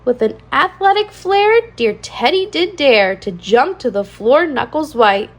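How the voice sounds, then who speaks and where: A woman reading a children's storybook aloud in an animated style, with a singsong cadence and expressive emphasis. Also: high-pitched, a little slow. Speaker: children's read-aloud channel host.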